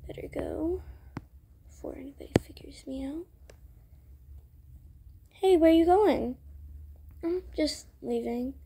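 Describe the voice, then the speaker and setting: A woman improvising character dialogue for a roleplay story video, with halting, hesitant delivery. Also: high voice, mellow. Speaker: video creator voicing all characters.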